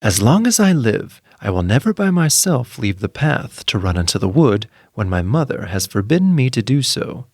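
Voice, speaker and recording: A man's voice: medium pitch, sing-song, normal speed, hardly any pauses, a little mellow, dry recording, studio quality. A man voicing an innocent, earnest little girl from a storybook who is easily frightened. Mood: earnest, resolved.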